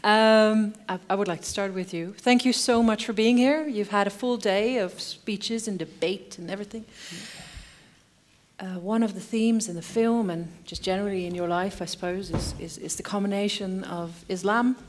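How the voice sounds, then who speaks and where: A woman moderates a live panel, introducing guests and posing questions. Her voice is mid-pitched, and she speaks in a friendly way with many fillers. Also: normal speed. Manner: friendly, with frequent fillers and backchannels. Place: public debate hall.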